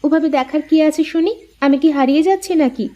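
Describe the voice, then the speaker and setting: A woman's voice: sits high, brisk, warm tone, natural voice. A woman voicing a sweet, respectful young cartoon bride who speaks in a coy, polite, teasing way. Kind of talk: cartoon voice acting.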